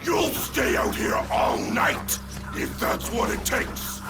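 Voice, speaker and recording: Gravelly, deranged villain warlord, wildly theatrical. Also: male voice, huge pitch swings, slow, full character voice. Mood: menacing relish. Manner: theatrical, gloating, bellowing. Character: deranged grotesque male villain warlord with metal claws. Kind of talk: video game villain acting. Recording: loud music and rumble behind.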